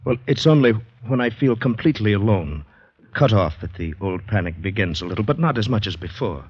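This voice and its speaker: An actor playing an anxious, hesitant young Canadian army convalescent in an old radio drama. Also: male voice, lowish voice, expressive, a little fast, husky, no echo. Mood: wistful, nervous. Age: young adult. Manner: hesitant, agitated. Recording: dull, dry old radio recording.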